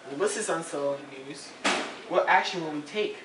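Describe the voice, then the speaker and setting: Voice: mid-range voice, normal speed, lightly acted. An amateur stage actor playing a hesitant, reluctant recruit to a revolution. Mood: wary, reluctant. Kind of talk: amateur stage-play acting. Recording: distant echoey room, noisy background, clicks.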